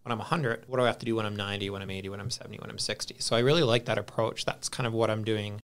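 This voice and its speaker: A man's voice: on the low side, fairly even intonation, racing. A man in his thirties, a podcast guest, chatting casually in an easygoing, rambling way and laughing at himself. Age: 30s. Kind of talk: casual chat.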